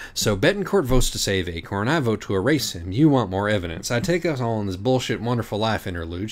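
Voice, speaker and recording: An exasperated, scheming male writer in a storybook acted out by a man. He talks urgently and impatiently in a lowish voice, swearing freely.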